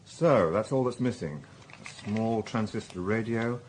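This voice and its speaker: A police inspector from a radio crime drama, played by a man. His voice is low and polite, probing with care, over faint background noise. He sounds measured and methodical. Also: a little mellow, close to an everyday voice. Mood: reflective. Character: methodical, courteous male police inspector narrating his case. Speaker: narrator and lead detective. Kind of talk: radio drama narration and dialogue.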